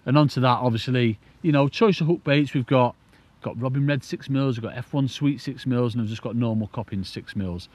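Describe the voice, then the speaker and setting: British male, casual angling presenter. Mid-pitched voice, chatty and keen, explains things in a loose, rambling way. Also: a little fast, darkish tone. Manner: enthusiastic, informal, rambling explanations. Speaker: angling presenter demonstrating a rig. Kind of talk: casual instructional chat to camera.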